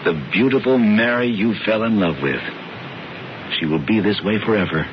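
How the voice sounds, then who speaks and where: An actor playing a calm, knowing older brother who insistently tempts and persuades, heard on a dull, lo-fi radio broadcast. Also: male voice, lowish voice, normal speed, a little hiss or hum, natural voice. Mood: persuasive. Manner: smooth, insistent, theatrical. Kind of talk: 1970s radio drama acting.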